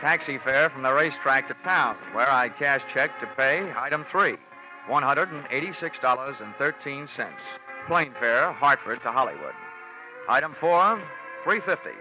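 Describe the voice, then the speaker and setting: An actor playing a dry, sardonic freelance insurance investigator in a 1940s radio detective drama. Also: male voice, mid-range voice, expressive, moderate pace, close to an everyday voice. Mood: dry, wry. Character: wry, wisecracking male freelance insurance investigator. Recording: muffled 1940s radio broadcast, music behind.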